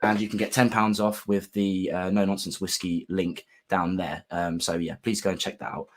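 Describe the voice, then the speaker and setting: A man with a sore, gravelly throat hosting a casual whisky livestream, friendly and full of ums and restarts. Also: low voice, flat delivery, normal speed.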